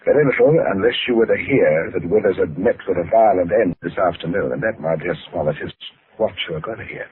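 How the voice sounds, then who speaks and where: An actor in an old radio drama playing a cold, controlling father who threatens in a formal, measured way. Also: low-pitched, natural voice. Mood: measured menace. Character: cold, controlling father calmly blackmailing at gunpoint. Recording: noisy, tinny old radio recording.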